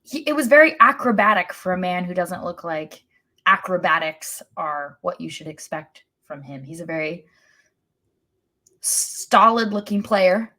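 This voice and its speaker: A woman's voice: lowish voice, lively intonation, measured pace, pushed and bright. A woman co-hosting a sports podcast, describing soccer play casually and sometimes searching for her words.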